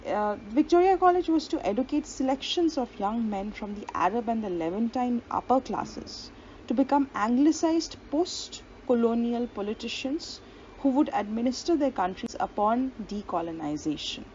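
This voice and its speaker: A woman's voice: a little high, expressive, normal speed, darkish tone. A woman giving an explanatory lecture who reads long quotations aloud and sometimes stops on a filler or restarts a phrase. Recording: dull lo-fi audio with faint hiss.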